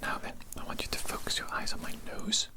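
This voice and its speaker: Man, breathy unvoiced whisper, ASMR medical roleplay, creepy formal doctor, deliberate repeated instructions. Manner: slow, deliberate, repetitive instructions. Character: creepy, politely formal male evil doctor. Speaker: ASMR performer playing a doctor.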